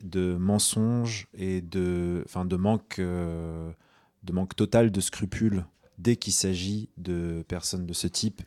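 A man from Tunisia, speaking as a guest on a radio talk show, often pausing and restarting his sentences. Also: low voice, a little mellow, dry recording. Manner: hesitant, many restarts and fillers. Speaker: guest activist. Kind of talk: radio discussion.

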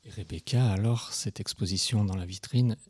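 A man's voice: lowish voice, moderate pace, darkish tone, slightly rough, no room sound. A man hosting a live radio interview, putting inquisitive, leading questions to his guests. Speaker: radio host.

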